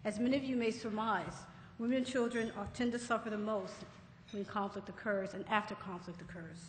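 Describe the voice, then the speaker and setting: A woman's voice: medium pitch, fairly even intonation, fairly quick. A woman moderator formally introducing a conference session, reading from prepared remarks. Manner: formal, read-aloud. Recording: roomy echoey hall with faint noise.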